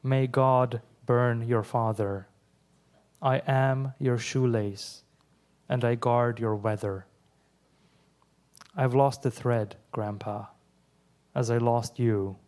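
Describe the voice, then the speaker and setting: A young adult man from Iran, whose first language is Persian, reads his poems aloud in a measured way, with quiet asides between them. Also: medium pitch, fairly even intonation. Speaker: poet. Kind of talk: live poetry reading.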